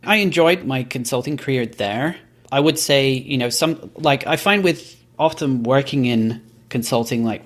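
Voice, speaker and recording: A man of middle pitch who grew up in Belgium, appearing as a podcast guest. He tells his story at length in a measured, reflective manner. He backtracks now and then to fill in details. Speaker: podcast company founder, guest. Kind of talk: casual podcast interview.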